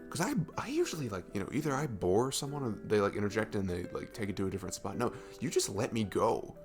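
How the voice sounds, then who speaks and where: A man's voice: on the low side, sing-song, fast, music in the background. A man playing a goofy, affectionate young guy smitten with his best friend, rambling informally in a roleplay audio. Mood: sincere, admiring. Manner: rambling, gushing, informal, chuckling between phrases. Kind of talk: romantic ASMR roleplay audio, casual improvised-sounding chat.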